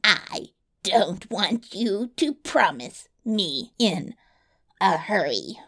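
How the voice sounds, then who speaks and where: Storybook villain: woman plays a gravelly little male dwarf, smug and malicious, pitch swinging widely as he taunts. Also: sing-song, extremely slow, bright, forceful tone, exaggerated voice. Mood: mocking, menacing. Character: small malicious male dwarf, sly, boastful and spiteful. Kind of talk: fairy tale storybook reading.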